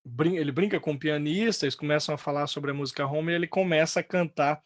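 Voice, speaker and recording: A Brazilian man teaching an online English lesson, lively and full of fillers and restarts. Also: fairly high pitch, quick pace, no echo, clean studio sound.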